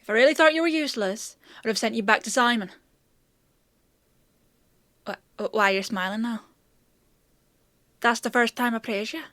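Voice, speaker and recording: A woman's voice: on the high side, moderate pace, pauses often, a bit bright, dry recording, close to an everyday voice. A woman playing a bossy, teasing female apothecary in a fantasy audio roleplay, with a chatty, sardonic, playfully scolding manner. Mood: amused teasing.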